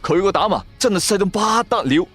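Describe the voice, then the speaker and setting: A man's voice: sits high, lively intonation, a little fast, fairly bright tone, slightly rough. A man with a Cantonese accent narrating a first-person audio drama in a colloquial, theatrical storytelling style. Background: background music.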